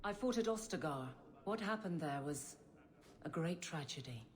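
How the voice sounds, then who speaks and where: An actress playing an earnest, deferential soldier in a video game, speaking respectfully and formally. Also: female voice, low voice, animated, moderate pace. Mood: respectful, solemn. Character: earnest, deferential female soldier, a battle veteran. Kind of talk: video game cutscene acting.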